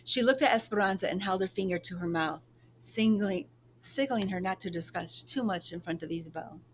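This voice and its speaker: A woman reads a children's book aloud as a storyteller. Her voice sits at a medium pitch, and there is clear noise in the recording. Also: a little fast, rough recording.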